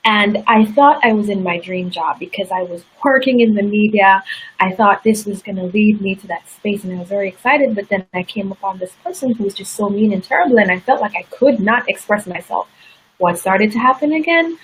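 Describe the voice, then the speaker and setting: A woman with a slightly husky, breathy voice talks through her life story as an interview guest. Her telling is candid and earnest, and her pitch sits in an ordinary middle range. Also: a little fast, poor audio. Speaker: interview guest, success coach.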